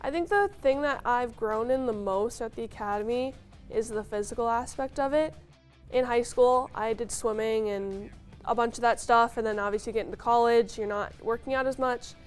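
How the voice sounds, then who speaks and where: A woman's voice: on the high side, normal speed, soft background music. A woman in her twenties from Minnesota, a police academy recruit, answering interview questions in an upbeat way. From Sartell, Minnesota.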